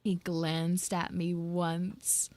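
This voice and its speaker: A woman voicing an obsessive, eerie yandere girl in a slow monotone, fixated on her crush. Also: lowish voice, dry recording, voice pushed down.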